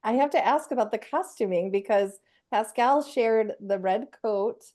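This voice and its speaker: A woman's voice: fairly high pitch, normal speed, a little mellow. A woman hosting a podcast in a casual, chatty interview style. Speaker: podcast host.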